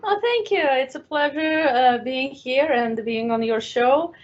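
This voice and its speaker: A woman's voice: high voice, unhurried. A middle-aged woman from Moscow with a Russian accent, a guest on a chat show, telling her story with many fillers. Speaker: guest, art studio owner. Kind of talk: casual interview chat. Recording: dull lo-fi sound.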